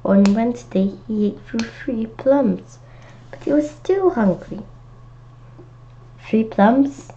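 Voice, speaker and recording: A woman's voice: on the high side, slow pace, long pauses, warm tone. A woman reading a children's storybook aloud, adding simple, childlike, chatty comments as she goes. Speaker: children's book reader. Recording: dull lo-fi home recording with noticeable noise.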